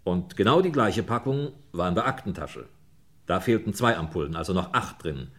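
An actor playing a brisk, dry homicide inspector in a German radio crime play, musing in clipped phrases with little questioning grunts. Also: male voice, sits low, animated, a bit bright. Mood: musing, matter-of-fact.

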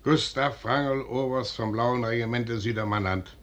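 An actor playing a blunt, reserved colonel sent as envoy in a 1950s radio play, with formal, deliberate stage diction. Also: male voice, mid-range voice, steady tone, a bit bright, muffled recording, lightly acted.

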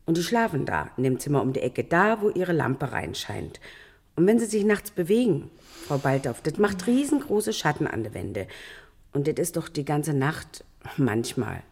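An actress playing a nosy, good-hearted neighbour and working mother in a German radio drama, chatty and colloquial, with a husky voice. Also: sits low, sing-song, moderate pace, a little mellow, exaggerated voice. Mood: earnest, concerned. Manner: chatty, colloquial, hemming before getting to the point.

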